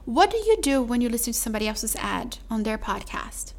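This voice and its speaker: Chatty woman with a higher voice. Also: big pitch swings, fairly quick, a little hiss or hum. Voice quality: mellow voice. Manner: chatty, calls listener "my love", says "like" often. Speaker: podcast host. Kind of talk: solo podcast sales pitch.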